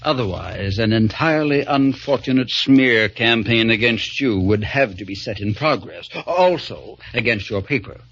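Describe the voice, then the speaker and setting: An actor playing a pompous, scheming veteran small-town politician in an old-time radio drama, speaking in oily, formal, grandiloquent phrases. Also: male voice, on the low side, animated, moderate pace, few pauses, a bit bright. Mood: veiled menace. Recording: dull lo-fi old radio recording.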